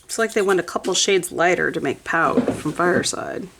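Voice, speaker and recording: Congested woman with gravelly voice. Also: lowish voice, moderate pace, fairly bright tone, slight background noise. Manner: chatty, often drops to a whisper while working. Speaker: nail art vlogger. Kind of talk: casual chat while swatching polish.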